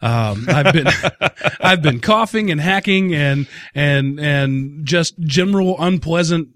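Male podcast co-host, sick and a bit raspy, wry and self-deprecating, dry close mic. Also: fairly high pitch, slow pace, a bit bright, no room sound. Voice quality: slightly raspy from a cold. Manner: joking, self-mocking. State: sick with a chest cold. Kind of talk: casual podcast chat.

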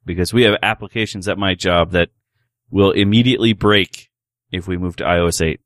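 A man with a fairly low voice in a close, dry recording. He speaks conversationally, as a co-host in a casual discussion. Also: steady tone, brisk, fairly bright tone, no echo. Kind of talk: casual chat.

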